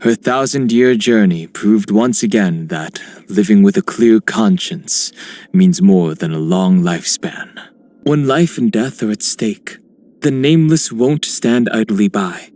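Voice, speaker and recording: Man voicing a low-voiced noble warrior. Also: a little mellow, natural voice. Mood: solemn, resolute. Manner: measured, earnest, formal. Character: noble, philosophical young warrior, steady and respectful. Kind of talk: video game character voice lines.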